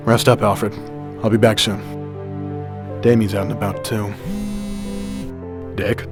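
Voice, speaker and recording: Brooding vigilante hero, played by a man, lowish serious voice, restrained, radio drama with music behind. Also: flat delivery, takes it slowly. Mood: somber.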